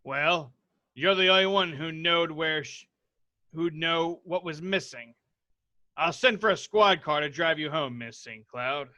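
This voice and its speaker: A man acts out a police commissioner in a read-through. His voice is bright and fairly high, courteous and reassuring, in a dry space. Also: measured pace, no room sound, lo-fi sound. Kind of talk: script table read.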